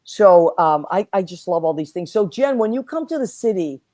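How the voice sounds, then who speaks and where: A woman hosting a casual online talk show, whose first language is Italian, chatting and giving friendly shout-outs with plenty of fillers. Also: fairly low pitch, expressive, moderate pace, no room sound. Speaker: host. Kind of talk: casual chat.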